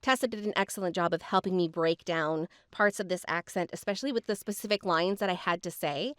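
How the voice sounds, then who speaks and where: A woman's voice: on the low side, fairly quick, a bit bright, clean tone, dry recording, studio quality. A woman hosting a podcast, chatting effusively with lots of fillers. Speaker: podcast host. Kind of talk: casual podcast chat.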